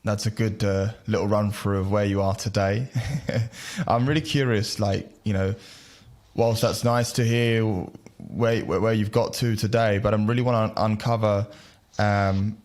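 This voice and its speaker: A man hosts a podcast and asks his guest questions in a curious, friendly way. His voice sits somewhat low, and his delivery is conversational. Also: steady tone, measured pace, darkish tone. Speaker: podcast host. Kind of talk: conversational interviewing.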